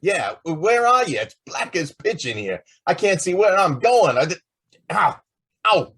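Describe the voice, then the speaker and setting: An actor playing a brash, impatient star reporter in an old-time radio drama, with a blustery manner. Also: male voice, high-pitched, very animated, a little slow, fairly bright tone, husky, heavily acted.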